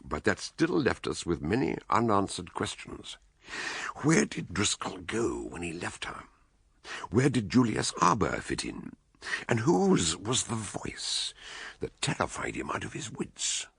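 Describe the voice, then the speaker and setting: An eccentric, jovial British detective in a radio play. His low voice is gravelly and leaps wildly in pitch. He blusters and pronounces with theatrical, wry relish. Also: huge pitch swings, unhurried, a bit bright, exaggerated voice. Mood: musing, dramatic. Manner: theatrical, hesitant bumbling mixed with wry pronouncements. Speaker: detective character in radio drama. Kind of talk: BBC radio drama acting, dialogue and narration.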